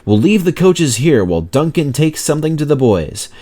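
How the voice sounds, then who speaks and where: Guy doing excited train fireman in a kids story, urgent, voice raised higher. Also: moderate pace.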